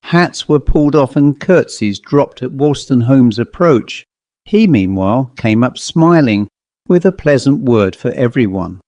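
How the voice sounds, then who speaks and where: A man narrating a Victorian ghost story as a scripted audiobook, in a measured, dramatic storytelling manner. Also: medium pitch, animated, mellow, no room sound.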